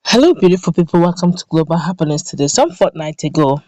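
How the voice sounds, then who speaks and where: A woman with a Nigerian accent reads the day's news for her channel in a brisk, even way, stumbling now and then. Her voice is mellow and relaxed, recorded close and dry with no room sound. She sounds like someone reading from a prepared script. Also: on the low side, no echo, highs cut off. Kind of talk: reading a news report aloud.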